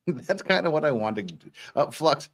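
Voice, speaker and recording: A man's voice: fairly high pitch, a little slow. A man hosting a livestream, bantering in a jokey way and reading court transcripts aloud. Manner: jokey, animated. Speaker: livestream host.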